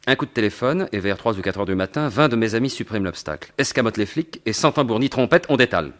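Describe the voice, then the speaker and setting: An actor playing a suave, mocking gentleman thief in a 1950s-style French radio mystery, with a sardonic, assured, theatrical delivery. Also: on the low side, expressive, fast talker, close to an everyday voice. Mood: commanding, menacing.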